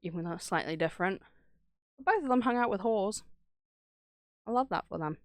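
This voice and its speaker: A woman's voice: mid-range voice, animated, brisk, no echo. A woman streamer chatting casually and rambling through stories in a sweary, irreverent way. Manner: acts out dialogue when retelling stories. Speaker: streamer reacting to horror videos. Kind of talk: casual rambling chat, storytelling.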